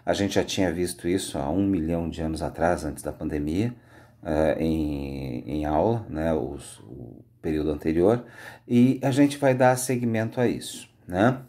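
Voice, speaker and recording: A Brazilian man speaking as a high school history teacher, giving an informal, conversational lecture with frequent tag questions. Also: moderate pace, warm tone. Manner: conversational, explanatory, frequent "né" tags.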